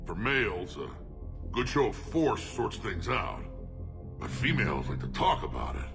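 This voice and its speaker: An actor playing a gruff, battle-worn alien warlord in a video game, blunt, proud and wry. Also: male voice, big pitch swings, a little slow, full character voice. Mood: wry, teasing. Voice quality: gruff, rumbling. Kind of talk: video game character dialogue. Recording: loud music mixed under voice. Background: loud game music.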